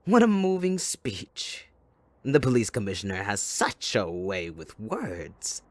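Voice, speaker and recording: A man playing a sadistic, possessive supervillain kidnapper in an audio roleplay, taunting theatrically and mock-sweetly, moving between hushed menace and outbursts. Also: fairly low pitch, very animated, slow, no room sound. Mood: mock-mournful, taunting. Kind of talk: dramatic audio roleplay monologue.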